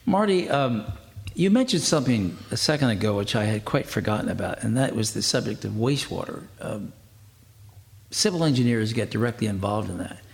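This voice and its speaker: A man hosting an interview, chatty and quick to laugh, asking his guests leading questions. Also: fairly low pitch, animated, gravelly. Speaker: radio interview host. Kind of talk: conversational interview.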